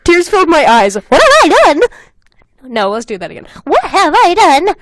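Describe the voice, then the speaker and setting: A woman's voice: very high voice, very animated, normal speed, a bit bright, dry recording, studio quality. A young adult woman hosting a podcast, casually reading old fan fiction aloud with giggly, sweary comic asides. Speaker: podcast host.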